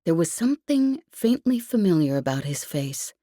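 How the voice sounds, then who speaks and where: A woman's voice: fairly low pitch, very animated, moderate pace, warm tone, no room sound. A woman reading scripted fiction as an audiobook narrator, recorded close. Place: dry, close recording space.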